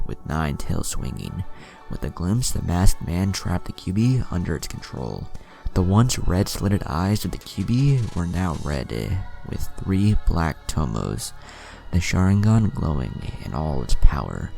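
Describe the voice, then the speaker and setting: A man with a gravelly voice reading a scripted fan fiction story as narrator. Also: sits low, dark tone.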